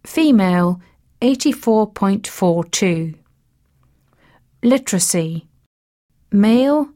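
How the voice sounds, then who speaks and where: Woman narrator for a lesson, slow-paced and measured. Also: mid-range voice, warm tone. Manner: measured, list-like reading of facts. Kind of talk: scripted educational narration.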